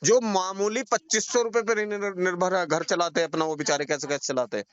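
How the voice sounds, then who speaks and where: A man's voice: sits high, fairly even intonation, a little fast, clean tone. A man arguing heatedly on a recorded phone call, insistent, accusing and repeating his points in colloquial speech. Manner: confrontational, insistent, accusing, repetitive.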